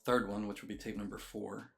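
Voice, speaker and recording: A man's voice: on the low side, flat delivery, fairly quick, vocal fry, soft background music. A man giving a casual, matter-of-fact video game walkthrough, explaining things step by step. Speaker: game guide creator.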